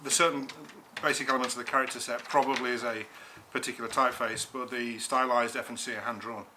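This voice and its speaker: A man's voice: medium pitch, level tone, quick pace, pushed and bright, a little hiss or hum. A man from Nottingham with an English accent, a guest designer chatting outdoors in a back garden, speaking plainly with hesitant ums. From Nottingham, England. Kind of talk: casual chat.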